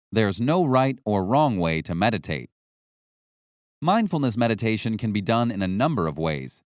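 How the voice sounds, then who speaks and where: A man narrates a self-help style nonfiction audiobook from a script. His mid-pitched voice moves up and down in a lively way, while the phrasing stays measured and instructional. The sound is dry, with no room around it. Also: no echo.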